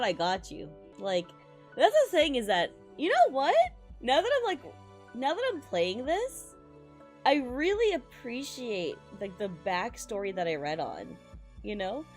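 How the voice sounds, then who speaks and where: Animated woman streamer with slangy chatter. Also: a little high, moderate pace, faint music behind. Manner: slangy, sweary, expressive. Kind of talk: casual, excited gaming stream commentary.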